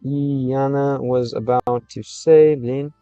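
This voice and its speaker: A man's voice: medium pitch, fairly even intonation, slow pace, warm tone, clean tone, dry recording, highs cut off, faint music behind. A man livestreaming, chatting with viewers and translating game text as he learns a language, often restarting his sentences. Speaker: streamer learning Russian. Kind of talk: casual livestream chat with viewers.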